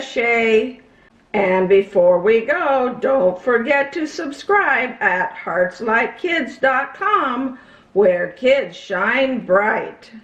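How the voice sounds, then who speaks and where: Cheerful, friendly kids' show character voiced by a woman, slow singsong delivery, dull roomy sound. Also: sits high, exaggerated voice. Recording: dull sound in an echoey room.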